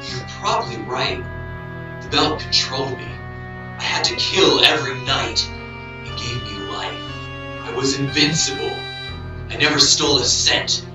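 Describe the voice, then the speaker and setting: A man's voice: mid-range voice, measured pace, long pauses, natural voice. Man acting a melodramatic villain professor, echoey. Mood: subdued, remorseful. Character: desperate villain professor, addicted former werewolf. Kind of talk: amateur audio drama acting. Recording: echoey, distant hobby recording over music.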